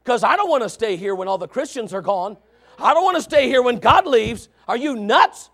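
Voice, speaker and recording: A man preaching a revival sermon, loud and shouting, with joking asides to the congregation. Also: very high-pitched, expressive, moderate pace, creaky. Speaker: pastor.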